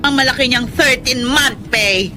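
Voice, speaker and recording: A woman's voice: high-pitched, unhurried, sharp tone, a little hiss or hum. A woman playing a resentful, nagging wife and mother in a Tagalog radio drama, with a grumbling, exclamatory manner. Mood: irritated, grudging.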